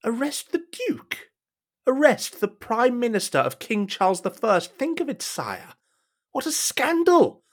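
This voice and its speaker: A man's voice: very high-pitched, wildly swooping pitch, unhurried, exaggerated voice. An actor playing a scheming, deferential cardinal advising a king in a period audio drama, with a formal, insinuating, courtly manner. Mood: scandalised.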